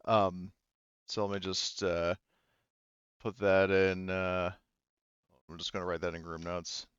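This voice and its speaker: A man's voice: low-pitched, little pitch movement, takes it slowly, a bit bright, clear, resonant tone, no room sound, lo-fi sound. A man casually running a tabletop game as game master, thinking aloud with a hesitant delivery.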